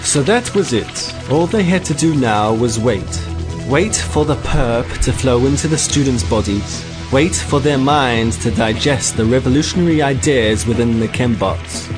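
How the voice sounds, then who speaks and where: A man playing a wry bar-owner who narrates a comic science fiction tale in a deadpan storybook manner. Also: medium pitch, measured pace, music in the background, close to an everyday voice. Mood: wry storytelling.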